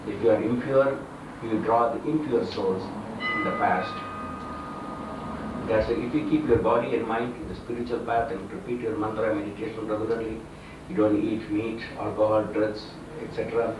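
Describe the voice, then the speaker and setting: Male spiritual teacher, Indian accent, lowish voice, didactic and halting delivery, heard in a very reverberant room. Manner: didactic, halting phrasing with simple explanations. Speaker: swami, spiritual teacher officiating a wedding. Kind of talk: religious discourse at a ceremony. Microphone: far from the speaker. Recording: very echoey room, distant mic, noisy.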